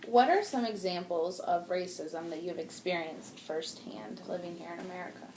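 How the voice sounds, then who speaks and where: A woman's voice: lowish voice, moderate pace, noisy background. Woman asking interview questions in an echoey room. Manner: brief prompts and follow-up questions.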